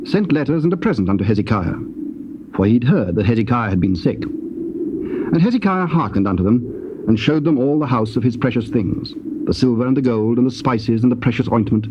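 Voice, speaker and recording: A man narrating dramatized scripture in a measured, formal and solemn manner. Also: mid-range voice. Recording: dull, noisy old cassette tape.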